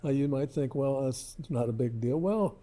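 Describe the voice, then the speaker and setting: An older man, reminiscing casually as an interview guest in a halting, modest, rambling way. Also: mid-range voice, dark tone. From Lebanon, Ohio, United States.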